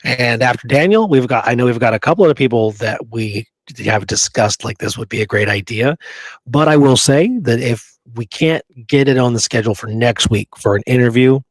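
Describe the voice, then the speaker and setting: A man's voice: medium pitch, fairly quick, no echo, poor audio. A man talking casually and informally as a podcast co-host.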